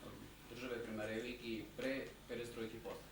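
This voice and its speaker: Brief: male conference interpreter, paraphrasing, far from the mic in a very reverberant hall with clear hiss. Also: medium pitch, level tone, fairly quick. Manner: summarizing, loose paraphrase. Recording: very echoey hall, distant mic, audible hiss.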